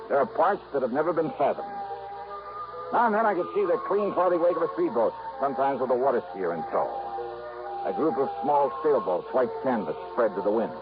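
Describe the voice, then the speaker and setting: A hard-boiled American private investigator played by a male actor from 1950s radio noir, calm and inquisitive with a wry, clipped delivery. The sound is a muffled old broadcast with music clearly underneath. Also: medium pitch, close to an everyday voice.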